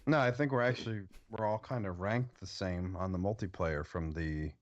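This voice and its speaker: A man in a casual podcast chat about games, talking haltingly with many restarts over an online voice chat. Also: low-pitched, dry recording, lo-fi sound. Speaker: podcast co-host.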